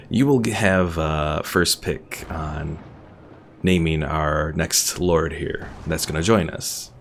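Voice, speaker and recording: Very low, gravelly male game streamer. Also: slight background noise. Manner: chatty, thinking aloud. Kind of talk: casual live gameplay commentary. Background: game music.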